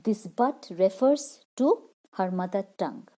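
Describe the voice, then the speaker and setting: A woman's voice: medium pitch, animated, a little slow, warm tone. A woman explaining a poem line by line as a literature teacher, repeating and rephrasing each point.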